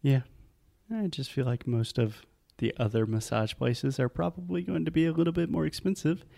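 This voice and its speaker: Man with a gravelly voice, casual co-host. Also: medium pitch, fairly even intonation, normal speed, dark tone. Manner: explains pronunciation patiently. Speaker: podcast co-host, English teacher. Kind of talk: casual chat.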